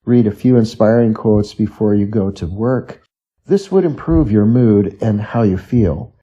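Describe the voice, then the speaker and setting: Dark, husky man reading scripted tips. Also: fairly low pitch, unhurried, muffled recording. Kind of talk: scripted reading of self-help and study tips.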